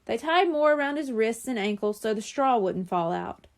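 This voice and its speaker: A woman reading a children's book aloud in expressive scripted narration. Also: a little high, moderate pace. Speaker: audiobook reader.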